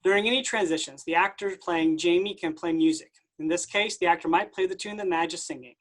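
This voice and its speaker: A woman's voice: on the low side, brisk, poor audio. A woman reading scripted stage directions as the narrator of a staged play reading.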